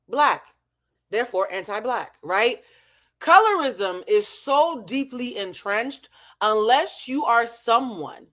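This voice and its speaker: A woman's voice: mid-range voice, a bit bright. An American woman from New England giving an opinionated recap as an online host, blunt, profane and emphatic, repeating her points for effect. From New England, United States. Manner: blunt, heavily profane, emphatic with rhetorical repetition. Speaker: online show host and emotional intelligence teacher. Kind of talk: opinionated reality show recap to camera.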